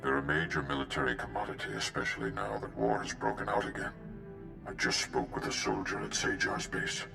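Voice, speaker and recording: A man acting a grave, measured mentor, a Jedi master in a video game, with a deep gravelly voice. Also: full character voice. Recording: roomy echo under loud music.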